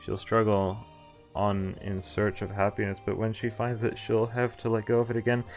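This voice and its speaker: Excitable man reading a visual novel aloud. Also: on the low side, moderate pace, soft background music. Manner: excitable, thinks aloud, reads story lines in first person. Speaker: streamer playing a visual novel. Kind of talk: live game commentary and reading text aloud.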